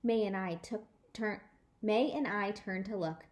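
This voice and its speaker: A woman storyteller reading a children's book aloud in an animated, emphatic way. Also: medium pitch, unhurried. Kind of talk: expressive children's book read-aloud in a child narrator's words.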